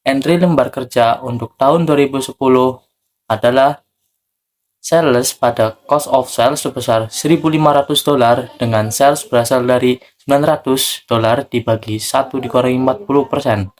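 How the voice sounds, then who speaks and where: A man's voice: medium pitch, fairly even intonation, moderate pace. A young man reading out a worked example as a student presenter. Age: young adult.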